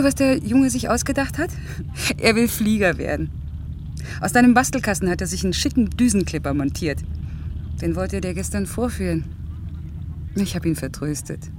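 A woman's voice: on the low side, close to an everyday voice. Actress as a determined young working mother, slightly noisy recording. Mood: teasing, reproachful. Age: young adult. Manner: assertive, outspoken. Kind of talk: German radio play acting.

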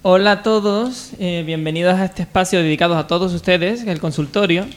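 A man with a distinctly high voice hosts a comedy radio segment. He speaks casually and haltingly, feeling his way through each sentence.

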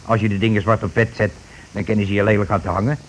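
An actor playing a scheming, bossy working-class husband who talks glibly and colloquially in a radio play, always justifying himself. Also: male voice, lowish voice, level tone, rapid-fire, close to an everyday voice. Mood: sly, explaining. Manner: glib, colloquial, self-justifying. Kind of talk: Dutch radio play acting. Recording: noisy old radio play recording.

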